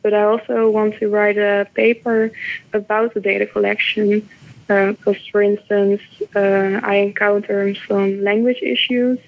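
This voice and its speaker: A woman's voice: mid-range voice, level tone, a little slow, gravelly. A young Dutch woman, a doctoral researcher, answers interview questions with many hesitant fillers. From Netherlands. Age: young adult. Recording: tinny phone-like line.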